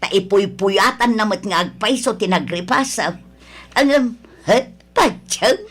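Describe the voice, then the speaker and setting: A woman's voice: mid-range voice, lively intonation, measured pace, husky. A woman playing a nagging, disapproving older mother of a grown son in an Ilocano radio drama, scolding and complaining. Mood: grumbling.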